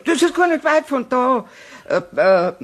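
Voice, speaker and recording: A woman playing a polite, hesitant customer with a husky voice and a southern German accent in a radio play. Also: high-pitched, sing-song, unhurried, heavily acted.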